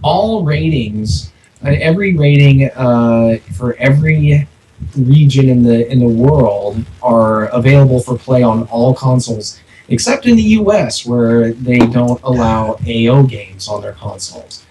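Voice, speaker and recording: A man co-hosting a podcast, talking casually and haltingly, repeating words as he thinks aloud, with echoey audio. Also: medium pitch, dark tone. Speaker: podcast co-host. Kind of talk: casual, unscripted discussion. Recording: echoey, distant mic, background noise.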